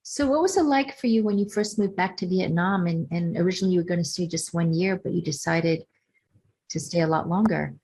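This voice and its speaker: Low, gravelly, husky woman moderator, measured and thoughtful. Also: darkish tone, poor audio. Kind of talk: interview questions.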